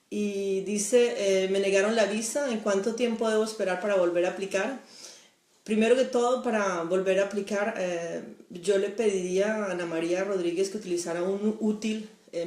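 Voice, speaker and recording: A woman's voice: fairly low pitch, darkish tone. A Colombian-born woman working as an immigration lawyer, answering viewer questions in a live stream, with frequent hesitations. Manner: hesitant, many fillers, explanatory. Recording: echoey room.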